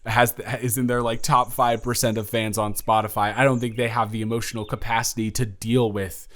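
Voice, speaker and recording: A man's voice: mid-range voice, little pitch movement, brisk, a bit bright, natural voice. A young man playing an awkward, smitten, introverted college dormmate in a romantic audio roleplay, rambling and stammering in a flustered way. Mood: flustered, affectionate. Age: young adult. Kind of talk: intimate romantic audio roleplay, conversational acting.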